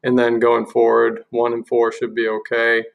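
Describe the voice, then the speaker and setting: A man presents an informal software walkthrough in a voice with plenty of fry and gravel. His pitch is middling and stays fairly even. He explains things step by step, as a demonstrator does while sharing a screen. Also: moderate pace, highs cut off.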